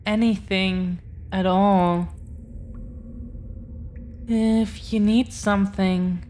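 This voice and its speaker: Very slow-talking, tender dragon-blooded girlfriend, acted by a woman. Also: mid-range voice, darkish tone, slight background noise. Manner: halting, earnest, affectionate. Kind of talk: intimate scripted audio roleplay.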